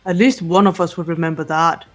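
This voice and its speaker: Woman as a low, deadpan girl detective. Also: brisk, close to an everyday voice. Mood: cool, businesslike. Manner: deadpan, terse. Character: cool, blunt, analytical teenage girl detective. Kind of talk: amateur fan voice acting of a game.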